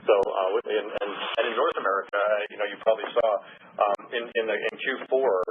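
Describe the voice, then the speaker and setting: A man's voice: on the low side, steady tone, brisk, phone-quality sound, noisy background. A man giving an executive's business update on an earnings call, heard over a phone conference line. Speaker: company executive.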